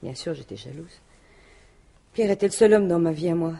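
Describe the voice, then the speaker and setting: An actress playing a defensive, jealous French widow in a radio mystery, talking in halting, colloquial phrases. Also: female voice, lowish voice, expressive, vocal fry, warm tone. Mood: confiding. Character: defensive, jealous widow, plain-spoken businesswoman. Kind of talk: 1950s-style French radio mystery acting.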